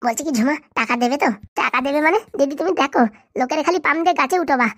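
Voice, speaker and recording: Mischievous money-mad gossip, comedy cartoon, female actor: conspiratorial, rapid, high-pitched. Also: fairly bright tone, dry recording, studio quality. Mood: gleeful plotting. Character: gossiping, money-loving schemer, gleefully mischievous. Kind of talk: amateur comedy cartoon dialogue.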